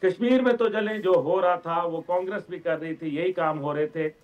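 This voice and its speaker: A Pakistani man with a notably high voice, heard through dull, lo-fi audio. He speaks as a political commentator, laying out long and emphatic explanations. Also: normal speed. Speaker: guest commentator, organisation chairman.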